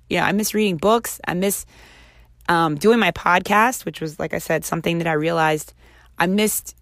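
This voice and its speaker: A woman with a raspy voice recovering from laryngitis, chatting casually and encouragingly on her solo podcast. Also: low-pitched, dry recording, clean studio sound. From Houston, Texas. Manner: chatty, encouraging, self-reflective. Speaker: podcast host.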